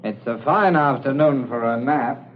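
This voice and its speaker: An actor playing a brash, callous young English son in a breezy 1940s radio drama manner. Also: male voice, medium pitch, measured pace, lightly acted. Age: young adult. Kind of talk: 1940s radio suspense acting. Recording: scratchy, narrow-band 1940s radio recording.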